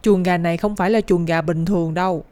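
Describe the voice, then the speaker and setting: A woman's voice: lowish voice, steady tone, quick pace, a little mellow, no room sound, close to an everyday voice. A woman voicing a talking rooster scientist in a cartoon, secretive and earnest, with a southern Vietnamese accent and an explanatory, dramatic delivery. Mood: solemn, persuasive. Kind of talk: animated cartoon dialogue. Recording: music behind the voice.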